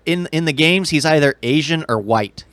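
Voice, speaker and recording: A man's voice: fairly high pitch, animated, normal speed, a bit bright, dry recording. A man talking casually as a podcast co-host, explaining things and giving his opinions. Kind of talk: casual chat about games and movies.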